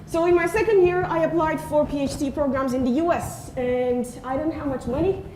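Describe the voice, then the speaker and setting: Woman in her 30s giving a talk, mellow high voice, echoey lecture room. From Turkey. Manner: earnest and friendly, frequent "uh" pauses. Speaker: invited speaker, assistant professor. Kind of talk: conference talk. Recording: echoey room, faint noise.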